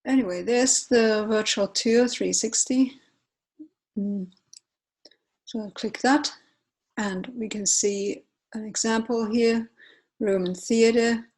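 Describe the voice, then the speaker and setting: A woman in her forties, a native Finnish speaker with a Finnish accent, walks through a software tutorial while sharing her screen, often pausing with fillers and correcting herself. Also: fairly high pitch, steady tone, rough recording. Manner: unhurried, hesitant, many fillers and self-corrections. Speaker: tutorial presenter.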